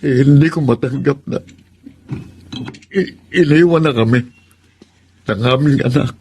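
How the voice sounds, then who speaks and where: A man's voice: mid-range voice, unhurried, husky. A man playing a bitter, grieving father in a Filipino radio drama, emotional and melodramatic, with a Filipino accent. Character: bitter grieving father, harsh and self-pitying. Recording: loud music under the voice.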